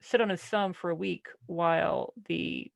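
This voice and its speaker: A woman's voice: fairly low pitch, fairly even intonation, unhurried, creaky, no room sound, muffled recording. A woman on a book club podcast, joking casually with a sarcastic, exasperated edge as she discusses a story.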